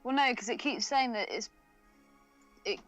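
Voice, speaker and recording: A woman's voice: a little high, unhurried, fairly bright tone. A woman co-hosting a podcast, chatting casually with an informal, teasing manner. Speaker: podcast co-host. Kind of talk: casual bantering chat. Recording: dry, music behind the voice.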